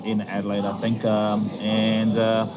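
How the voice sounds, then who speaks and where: Man from Fiji, chef guest, relaxed and unhurried, music under him. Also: on the low side. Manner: relaxed, many fillers and pauses. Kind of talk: casual interview chat. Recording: music playing behind.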